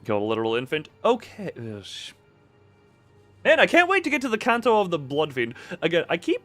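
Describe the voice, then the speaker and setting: Guy streaming a game, chatty and rambling, voice jumps up and down a lot, soft music behind. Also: a little high, fairly quick, no echo. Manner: animated, exclamatory, rambling. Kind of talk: casual live gameplay commentary. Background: faint music.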